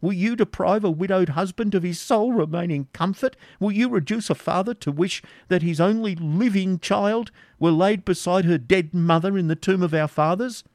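A man's voice: high voice, moderate pace, hardly any pauses, darkish tone, no room sound, studio quality, voice pushed up. A man voicing a frail, desperate old father who pleads passionately for his daughter. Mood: pleading. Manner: impassioned, imploring.

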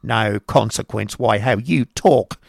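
A man voicing a sharp-tongued, stingy woman who scolds bluntly, in an audiobook reading. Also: mid-range voice, normal speed, creaky, dry recording, studio quality, pitched above the performer's own voice, natural voice. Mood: scolding. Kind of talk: audiobook character voice.

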